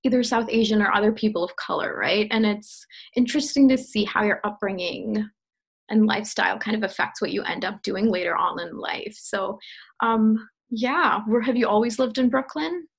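A woman's voice: medium pitch, moderate pace, vocal fry, breathy edge. A young woman co-hosting a podcast interview, talking in a friendly, chatty way with plenty of fillers. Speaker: podcast co-host. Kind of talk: interview conversation.

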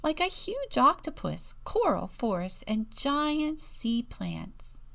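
Bubbly young female penguin, acted by a woman, gushing and confident, high animated storybook voice. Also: slow pace, gravelly, heavily acted. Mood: reassuring. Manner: squeaky, gushing, excitable.

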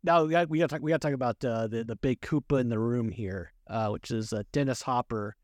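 A man's voice: medium pitch, quick pace, a little mellow, no echo, clean studio sound. A jocular man co-hosting a casual movie chat, rambling along and often amused. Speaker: podcast co-host.

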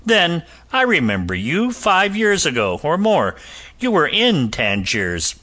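A man voicing a blustering English army captain with a heavy, hectoring tone, shouting and sneering. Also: fairly high pitch, huge pitch swings, slow pace, pitched above the performer's own voice, heavily acted. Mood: aggressive.

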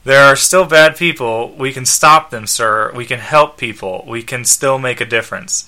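A man's voice: medium pitch, moderate pace, sharp tone. A man voicing a polite, concerned robot butler companion from a comic book, earnest and deferential. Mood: earnest, pleading. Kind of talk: comic book read aloud.

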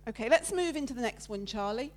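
Woman, preacher, loud voice, chatty, raised pitch, interactive church talk. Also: quick pace. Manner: loud, lively, chatty with the congregation. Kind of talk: interactive sermon with slides. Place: church meeting room with listeners present.

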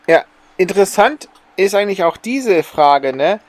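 A man chats as a podcast co-host. His voice is on the higher side, recorded dry with little room sound. He comes across as wry and questioning. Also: lively intonation, measured pace, no echo. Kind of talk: casual podcast chat.

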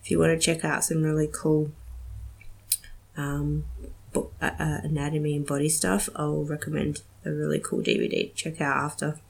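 Low, gravelly-voiced young woman podcaster. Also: flat delivery, normal speed. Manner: chatty, giggly, many ums. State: slightly nasal from a cold. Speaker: podcast host. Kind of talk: casual solo podcast chat.

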